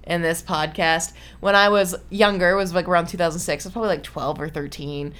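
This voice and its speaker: A woman in her twenties with a very low voice, chatting casually as a podcast co-host, full of "like" fillers. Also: steady tone, brisk, fairly bright tone, clear, resonant tone. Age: 20s. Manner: chatty, lots of "like" fillers, laughs easily. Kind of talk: casual chat reviewing a film.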